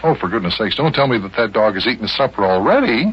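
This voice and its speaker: A man with an American accent playing a patient, dryly amused grown-up who gently scolds a boy in a children's radio serial. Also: on the low side, animated, quick pace, close to an everyday voice. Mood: mildly scolding. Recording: hissy, dull old radio recording.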